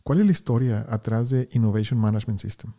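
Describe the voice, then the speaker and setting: Upbeat male podcast host, mellow voice. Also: lowish voice, quick pace. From Houston, Texas, United States. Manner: energetic greetings, repeats words often. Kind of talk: podcast interview.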